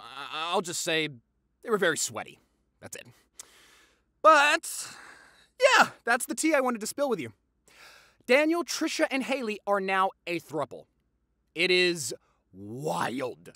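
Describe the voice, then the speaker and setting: A man acts out a playful, affectionate young boyfriend spilling gossip in an audio roleplay. His delivery is theatrical and teasing, swinging widely in pitch, and the sound is dry with no room around it. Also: pushed and bright, no echo, exaggerated voice. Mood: wry, playful, then excited gossip. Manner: theatrical, exaggerated, teasing, chatty.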